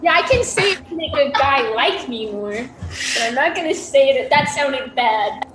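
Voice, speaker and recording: A woman's voice: high voice, expressive, normal speed. A woman playing a tabletop game, teasing the others. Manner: teasing, joking. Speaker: player. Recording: echoey room with loud background noise.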